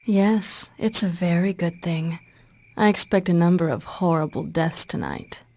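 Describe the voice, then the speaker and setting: An actress playing a cool, sardonic half-vampire huntress in a video game, dry and confident in manner. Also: female voice, lowish voice, measured pace, mellow. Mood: cool, teasing. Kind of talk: video game cutscene acting. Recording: music behind the voice.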